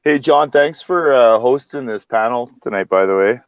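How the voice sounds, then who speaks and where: A man's voice: medium pitch, normal speed. A man in his forties chiming in with friendly short remarks on a group call, sounding dull and a bit distant. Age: 40s. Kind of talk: casual group video chat.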